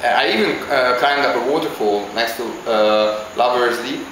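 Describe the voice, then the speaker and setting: A man from Hungary, answering interview questions in a halting, casual way as he searches for words. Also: medium pitch, background noise. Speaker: interviewee, visiting traveler. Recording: very echoey with clear background ambience.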